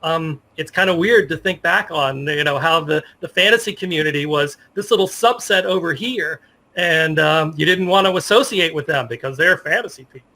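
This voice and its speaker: A man's voice: on the high side, moderate pace, sharp tone. A middle-aged American man chatting and telling anecdotes as a guest in a casual interview. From United States. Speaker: interview guest, sports journalist. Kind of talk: casual interview conversation, reminiscing.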